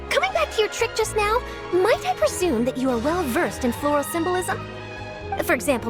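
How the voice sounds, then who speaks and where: An actress playing an eager, inquisitive young female journalist who talks in a bright, chirpy way. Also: high voice. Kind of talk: video game dialogue.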